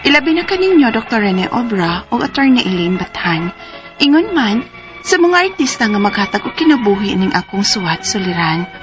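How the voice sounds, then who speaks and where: A woman in her forties with a Cebuano accent playing a single daughter who cares for her mother in a radio drama, earnest and rising high in surprise. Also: sing-song, moderate pace, natural voice. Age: 40s. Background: music.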